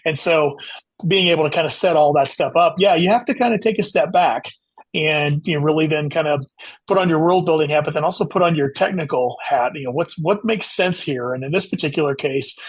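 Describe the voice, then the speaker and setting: A man's voice: fairly high pitch, brisk, slightly rough. A man being interviewed as an author, explaining things at length in a casual, conversational way. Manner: explanatory, conversational, says "you know" often.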